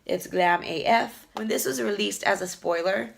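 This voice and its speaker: A woman beauty vlogger chatting casually through an unboxing, hoarse from a lingering cough, rambling fondly about each item. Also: mid-range voice, moderate pace. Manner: chatty, fond, rambling product talk with fillers. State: hoarse, losing her voice from a cough.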